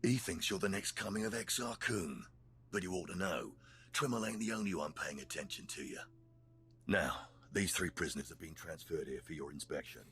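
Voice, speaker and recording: Very deep, gruff Sith jailer. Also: male voice, animated, moderate pace, fairly bright tone. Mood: businesslike, blunt. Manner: blunt, gruff. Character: gruff, menacing male Sith jailer running slave pits. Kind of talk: scripted video game dialogue. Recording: music behind the voice.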